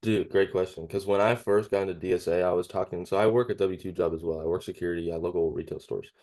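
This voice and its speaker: A man of about twenty being interviewed, chatting casually and haltingly with frequent tag questions. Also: low-pitched, fairly even intonation, dark tone. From California, United States. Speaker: interviewee, young cleaning business owner. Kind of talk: casual chat.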